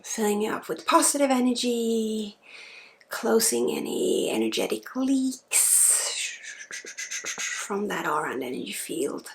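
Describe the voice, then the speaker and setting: A woman leading an ASMR energy healing session, soft-spoken and often slipping into a breathy whisper. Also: medium pitch, lively intonation, takes it slowly. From Sweden. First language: Swedish. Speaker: energy healer and spiritual guide.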